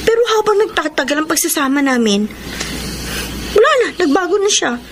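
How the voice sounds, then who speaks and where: A woman's voice: high-pitched, lively intonation, brisk, noisy background. A woman acting a sulky, demanding girlfriend in a Filipino radio drama. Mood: sulky, indignant. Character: sulky, demanding young girlfriend working as drugstore cashier.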